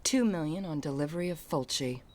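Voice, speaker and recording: An actress playing a poised, vengeful young heiress in a video game, cool and dry in delivery. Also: female voice, low voice, lively intonation, brisk, darkish tone, a little hiss or hum. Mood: wry, businesslike. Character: poised, sardonic, vengeful young heiress, murdered man's daughter. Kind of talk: video game cutscene acting.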